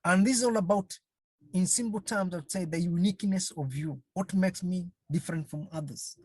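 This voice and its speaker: A counselling trainer gives an online webinar talk over a video call. The speaker talks slowly and haltingly, repeats phrases and keeps asking the audience rhetorical questions. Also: mid-range voice, darkish tone, no echo.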